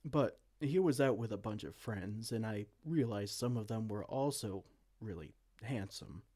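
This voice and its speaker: A man playing a vain, silly, soft-hearted evil king in a comedic fantasy roleplay, pompous and theatrical but slipping into casual dorkiness. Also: on the low side, a little slow. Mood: sheepish, casual. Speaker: audio roleplay performer.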